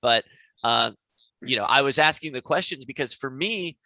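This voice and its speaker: Bright, close-sounding man in casual discussion. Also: medium pitch, animated, normal speed, creaky, dry recording, poor audio. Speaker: podcast co-host.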